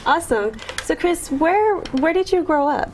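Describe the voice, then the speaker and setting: A woman hosting a casual online interview, playful and quick to laugh. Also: extremely high pitch, animated, over a noisy crowd. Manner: bubbly, playful, laughs often. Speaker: host.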